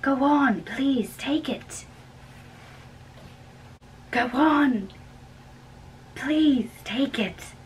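A woman playing a slow, earnest lovesick young man. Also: high-pitched, a little hiss or hum. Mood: insistent. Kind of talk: amateur dramatic reading of a play. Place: small bedroom.

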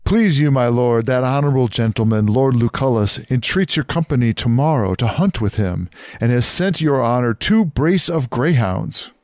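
A man performs a courteous messenger in a Shakespeare audio play. His voice sits at a middle pitch and is formally polite. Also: expressive, moderate pace, a little mellow, close to an everyday voice. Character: polite male lord or messenger attending host.

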